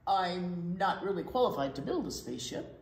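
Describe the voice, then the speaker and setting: An American woman in her sixties reads a picture book aloud as a children's storyteller, expressive and explaining things simply. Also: on the low side, normal speed. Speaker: children's storytime reader at a library. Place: library children's room, indoors. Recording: roomy, echoey indoor sound.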